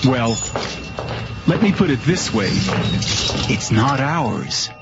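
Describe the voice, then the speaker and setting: Excitable scientist, high voice, radio drama, muffled recording, music behind. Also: male voice, very slow, full character voice. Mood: earnest. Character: earnest excitable male space scientist with theories.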